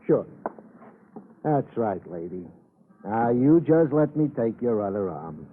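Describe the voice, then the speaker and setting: An actor playing an earnest, hesitant police sergeant in an old radio crime drama. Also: male voice, medium pitch, very animated. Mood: gentle. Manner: hesitant, halting, deferential. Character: earnest, flustered police sergeant reporting findings. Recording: tinny, faintly noisy old radio recording.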